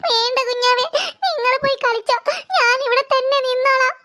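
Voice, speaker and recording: A woman's voice: extremely high pitch, flat delivery, moderate pace, pushed and bright. A woman voicing a sad, hurt little boy in a children's cartoon, plaintive and self-pitying. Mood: sulking, dejected. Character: sad, hurt little boy, sulking over best friend.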